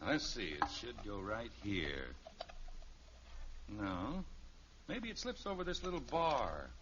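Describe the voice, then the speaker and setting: A man's voice: mid-range voice, sing-song, slow pace. An actor playing a wry, exasperated middle-aged father in a 1950s radio sitcom, dry and wisecracking. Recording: muffled, noisy old radio recording.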